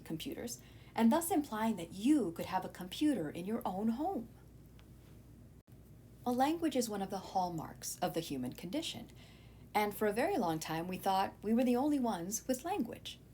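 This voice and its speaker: A woman's voice: mid-range voice, darkish tone, a little hiss or hum. A woman teacher reading a scripted educational narration, explaining things and speaking directly to her students.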